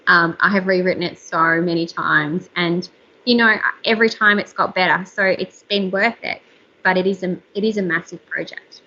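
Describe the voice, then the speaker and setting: Author in her thirties, woman, bright squeaky-edged voice, candid chatter, muffled call audio. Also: fairly even intonation, normal speed. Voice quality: slightly squeaky voice. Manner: chatty, candid, frequent fillers. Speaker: author and journalist. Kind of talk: casual interview chat. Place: home room. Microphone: video call. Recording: slightly dull video call audio.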